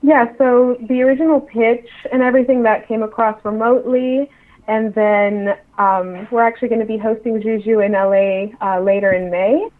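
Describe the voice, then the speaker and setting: A woman's voice: a little high, steady tone, normal speed. A woman giving casual interview answers as a guest on a remote call. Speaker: interview guest, associate editor at a publisher. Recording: muffled, band-limited remote call line.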